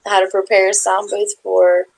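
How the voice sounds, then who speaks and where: Female co-host, heavy vocal fry, mid pitch, short supportive interjections. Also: little pitch movement, moderate pace, a little mellow, poor audio. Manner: supportive, chiming in with short replies. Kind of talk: casual live chat.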